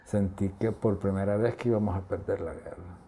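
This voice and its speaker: A Salvadoran-accented man of mature years shares memories in a conversational interview. His voice is relaxed and mellow, and fairly low in register. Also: a little fast. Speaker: interviewee giving testimony. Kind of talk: conversational recollection.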